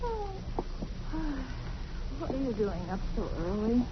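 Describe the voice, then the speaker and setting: High, slow-talking concerned wife. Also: female voice, big pitch swings. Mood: drowsy, contented. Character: sleepy, tenderly concerned young wife. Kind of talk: 1940s radio drama acting. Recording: dull vintage radio recording, faint noise.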